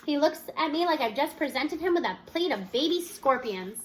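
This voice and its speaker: A woman's voice: sits high, lively intonation, moderate pace, fairly bright tone, rough recording. A woman reading a children's novel aloud expressively, narrating as a student in the first person. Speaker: audiobook-style reader.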